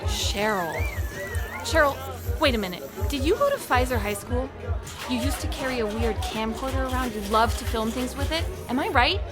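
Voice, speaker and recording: A woman's voice: high voice, animated, moderate pace, music in the background. An actress playing an assured, blunt working woman in a stage drama. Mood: friendly, curious. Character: assured woman, office manager and bar co-worker. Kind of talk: stage play acting.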